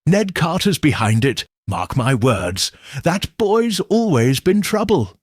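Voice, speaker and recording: A man's voice: fairly high pitch, very animated, slow pace, husky, dry recording, clean studio sound, voice pushed up, heavily acted. A man playing an accusatory, gossiping English village pub regular in an audiobook. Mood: accusing. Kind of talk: audiobook character dialogue.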